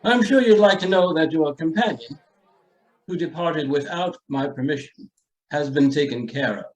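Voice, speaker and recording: An actor playing an aloof, genteel and coolly ruthless estate owner in a radio drama, with formal, hesitant delivery. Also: male voice, on the high side, some chatter behind, lightly acted, low-quality recording. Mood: blandly menacing. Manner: pompous, formal, hesitant pauses. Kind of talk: old-time radio drama acting.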